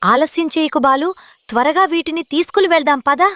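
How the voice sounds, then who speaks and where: A woman's voice: high-pitched, brisk, a bit bright, no echo. A woman voicing a bossy female crow, impatient and urging, in a cartoon story. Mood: urgent. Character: urgent, bossy female crow, egg-thieving accomplice.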